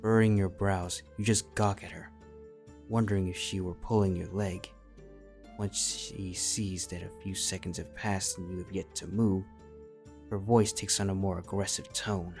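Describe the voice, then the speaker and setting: Male narrator, low register, scripted fan fiction storytelling addressed to "you", light music bed. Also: little pitch movement, normal speed, vocal fry, a little mellow.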